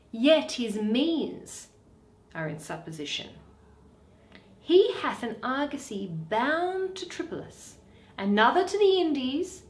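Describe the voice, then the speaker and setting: A woman's voice: high voice, exaggerated voice. A woman voicing a shrewd, bitter male moneylender in a Shakespeare play, drawing out and weighing each phrase. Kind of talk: dramatic Shakespeare reading. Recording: roomy echo.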